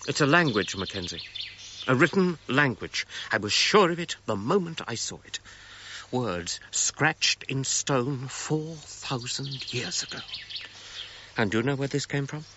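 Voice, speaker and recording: An eager, self-assured archaeologist in a radio play. His bright voice swings animatedly as he explains with emphasis. The sound is a little muffled. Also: mid-range voice, lively intonation, unhurried. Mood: intrigued, eager. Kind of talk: radio drama acting. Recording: muffled, faint outdoor ambience noise.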